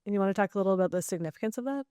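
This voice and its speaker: A woman hosting a casual podcast discussion, speaking briskly and conversationally. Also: mid-range voice, animated, warm tone, clear, resonant tone, no echo, clean studio sound.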